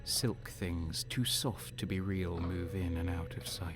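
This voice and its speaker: An actor playing a cynical, faithless deserter soldier on edge, narrating an audio drama in a brooding voice that drops into whispers. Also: male voice, low-pitched, fairly even intonation. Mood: uneasy. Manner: slow, brooding, breaking into whispers. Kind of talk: audio drama first-person narration and dialogue. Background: music.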